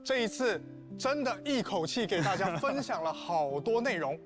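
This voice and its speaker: A man's voice: high-pitched, big pitch swings, moderate pace. A man hosting a game broadcast, presenting formally on behalf of the publishing team. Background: soft guitar music.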